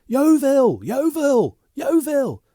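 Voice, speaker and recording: A man voicing a frantic, panicky young male messenger who shouts and gasps. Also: high-pitched, unhurried, dry recording, clean studio sound, pitched above the performer's own voice. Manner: shouting, gasping.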